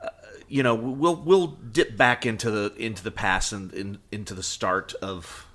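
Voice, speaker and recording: A man's voice: on the low side, moderate pace, fairly bright tone. A man co-hosting a casual podcast chat in a wry, joking manner. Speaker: podcast co-host. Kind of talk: casual chat about superhero shows.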